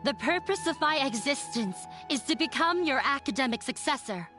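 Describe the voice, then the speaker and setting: A woman's voice: high voice, lively intonation, normal speed, sharp tone, soft background music. A woman voicing a determined young woman confronting her father in a game, speaking in a resolute, formal way. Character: determined young female daughter.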